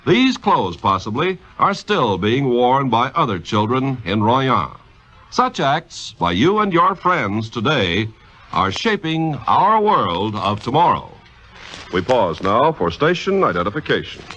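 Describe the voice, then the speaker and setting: Male 1950s radio announcer: formal, emphatic, crisp forward tone, lively inflection, scripted read. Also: medium pitch, a little slow. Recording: muffled old radio recording.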